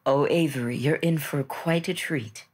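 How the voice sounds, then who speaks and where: A man's voice: fairly high pitch, moderate pace, close to an everyday voice. A man voicing an eager, polite woman with a hesitant, delighted manner in a tabletop game. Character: eager, polite woman who loves local food.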